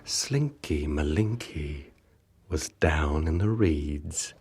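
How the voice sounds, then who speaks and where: A man narrating a children's picture book in rhyme, with dramatic, measured, expressive storytelling. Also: rumbling low. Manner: dramatic, measured storytelling with rhythmic rhyming delivery. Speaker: audiobook narrator. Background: music.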